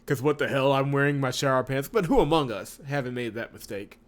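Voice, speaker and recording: A man hosting a visual novel playthrough, chatting casually and reading the story aloud in an expressive way with wry asides. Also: mid-range voice, a little fast. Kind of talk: casual let's-play commentary with read-aloud narration.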